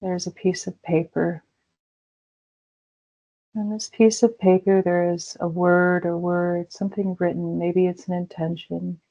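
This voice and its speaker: A woman leading a guided meditation in a slow, soothing way with gentle pauses. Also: on the low side, little pitch movement. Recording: dull lo-fi recording.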